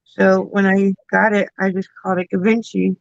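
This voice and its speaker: A woman's voice: medium pitch, level tone, normal speed, creaky, no room sound, lo-fi sound. A woman co-hosting a casual livestream, easygoing, giving short replies. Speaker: livestream co-host.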